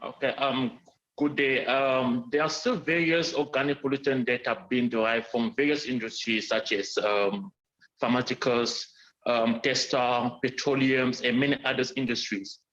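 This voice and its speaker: A man from Cameroon giving a research talk, pausing often with ums and restarting his phrases. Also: mid-range voice, steady tone, fairly bright tone. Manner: hesitant, many ums and restarts. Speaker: doctoral student presenter. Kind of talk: research presentation and Q&A. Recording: dull lo-fi sound.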